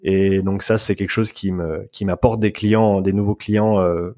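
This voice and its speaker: A man's voice: deep voice, fairly even intonation, normal speed, dark tone. A man speaking as a podcast guest about his coaching work, in a casual, hesitant way with many restarts. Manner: bilingual.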